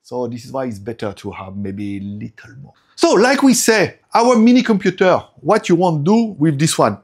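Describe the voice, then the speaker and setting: A man's voice: a little high, very animated, moderate pace. A man in his forties with a French accent, a native French speaker, talking casually in broken English and laughing often as he reviews tech at home. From France.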